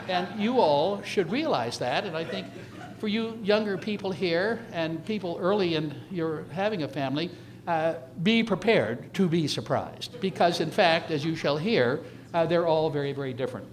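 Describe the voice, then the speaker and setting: A man in his seventies giving an informal, reminiscing slide lecture in a halting, conversational way, speaking directly to his audience. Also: fairly high pitch, expressive. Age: 70s. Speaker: retiring surgeon giving an emeritus lecture.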